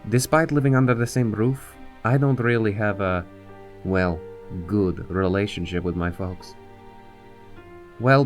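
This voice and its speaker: A man voicing a dry, proud runaway from a crime family in an animated series, measured and wordy. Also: lowish voice, natural voice. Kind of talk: animated web series voice acting.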